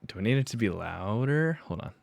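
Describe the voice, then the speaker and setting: A man streaming live as a music producer, chatting casually and thinking aloud in halting bits with fillers while he works on a mix. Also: on the low side, animated, vocal fry, darkish tone, no echo.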